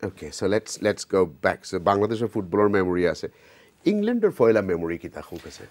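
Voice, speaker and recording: A man hosting an interview, firing brisk questions and mixing two languages. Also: on the low side, wildly swooping pitch, no echo. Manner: brisk questioning, mixes Bengali and English. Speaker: host.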